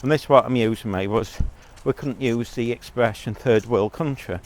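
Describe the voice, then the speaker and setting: A man's voice: mid-range voice, normal speed. A man giving a blunt, rambling monologue as a vlogger, talking while out on a walk.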